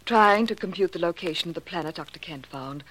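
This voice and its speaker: Guarded scientist in an old radio mystery, direct and brisk, slightly noisy recording. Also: female voice, lowish voice, lively intonation, fairly bright tone. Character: composed, guarded female scientist. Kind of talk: 1940s radio acting.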